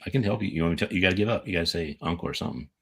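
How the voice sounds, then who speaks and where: A man's voice: low-pitched, rapid-fire, vocal fry. An American man co-hosting a casual talk show, rambling and joking and correcting himself as he goes. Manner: rambling, jokey, self-correcting. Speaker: podcast co-host. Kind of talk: casual chat.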